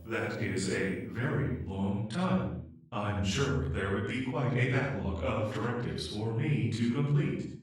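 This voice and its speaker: A man voicing an anxious, polite, humanlike artificial intelligence in an emotional audio drama, speaking in a measured, formal, hesitant way. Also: lowish voice. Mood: stunned, subdued. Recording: echoey, distant-sounding room.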